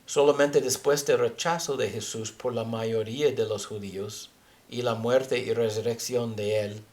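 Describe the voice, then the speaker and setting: A man with a foreign accent, a native English speaker, teaching scripture haltingly as he searches for words. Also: medium pitch, a little mellow. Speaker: religious teacher. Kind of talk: Bible teaching with scripture reading.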